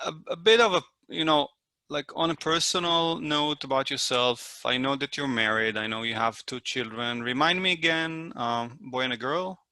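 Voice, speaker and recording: A man in his forties, raised in Israel, hosting a casual podcast interview in a friendly, halting way with many fillers. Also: mid-range voice, sharp tone, clear, resonant tone, no room sound. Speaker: podcast host.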